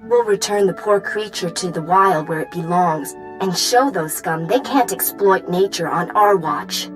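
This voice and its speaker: An actress playing a righteous, fervent rebel leader who speaks earnestly and declaims like an activist in a video game. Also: female voice, lowish voice, a little slow, close to an everyday voice, low-quality recording. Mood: zealous. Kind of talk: video game character acting. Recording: music under the voice.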